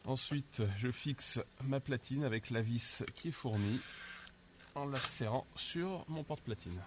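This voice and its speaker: A man calmly narrating a home improvement job step by step, describing each action as he goes. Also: medium pitch, unhurried, very noisy. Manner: methodical, explains each step in first person. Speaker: DIY instructor.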